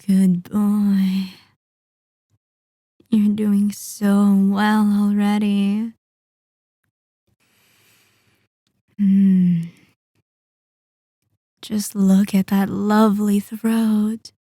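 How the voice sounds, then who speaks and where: A woman playing a possessive, sadistic vampire mistress in an intimate roleplay audio, softly murmuring and deliberate, with condescending endearments. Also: medium pitch, little pitch movement, no room sound, clean studio sound. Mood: seductive, commanding. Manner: slow, deliberate, sighing, condescending pet-name endearments. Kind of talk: roleplay ASMR, intimate dramatic monologue. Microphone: close, dry microphone.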